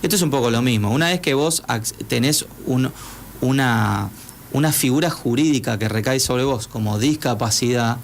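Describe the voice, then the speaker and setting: A man from Uruguay, a guest psychologist in a radio studio, explains things at an ordinary pitch. He works patiently through his points and often restarts mid-phrase. A faint hiss sits under his voice. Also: normal speed, vocal fry.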